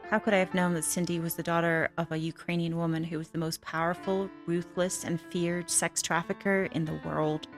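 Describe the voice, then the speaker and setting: Actress doing a brazen tough lady telling her story, kinda low, wry and crude, soft music behind. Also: little pitch movement, a little fast, few pauses, natural voice. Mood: bitter, wry. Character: hard-boiled, brazen female ex-trafficker telling her story. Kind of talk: first-person film narration.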